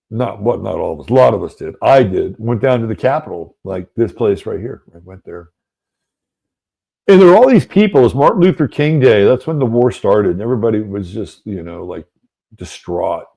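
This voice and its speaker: A man's voice: lowish voice, gravelly, dark tone. A middle-aged man hosting an astrology show, telling a rambling story in a loose, conversational way full of fillers and asides. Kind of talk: casual storytelling monologue.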